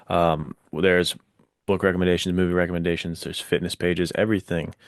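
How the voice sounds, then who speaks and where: A man's voice: deep voice, level tone, fairly quick, no echo, studio quality. A man co-hosting a podcast, chatting in a casual, informal, slangy way. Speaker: podcast co-host.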